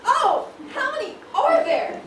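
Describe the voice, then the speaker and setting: Woman as young shop assistant, polite, excitable, very high animated voice, amateur play, distant noisy recording. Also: takes it slowly, exaggerated voice. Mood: alarmed. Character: eager, deferential young female shop assistant. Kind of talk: amateur stage acting, one-act school play. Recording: echoey stage, distant mic, clear noise.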